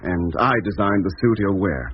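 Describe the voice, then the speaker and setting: An actor playing a calm, dutiful doctor on a moon rocket crew, measured and reassuring, in 1950s radio drama style. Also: male voice, sits low. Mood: calm, serious. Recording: dull, noisy 1950s radio recording.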